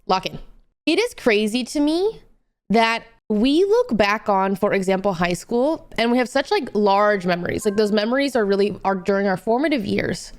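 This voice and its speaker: A woman's voice: mid-range voice, lively intonation, normal speed. A woman in her late twenties rambling casually to livestream viewers in a chatty, slangy, emphatic way.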